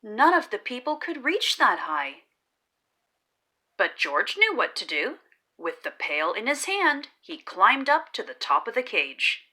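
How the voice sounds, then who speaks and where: A woman reads a children's story aloud in a bright, fairly high voice. Her delivery is unhurried and theatrical, with very wide swings in pitch. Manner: deliberate, animated storytelling delivery. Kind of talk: expressive scripted children's story narration.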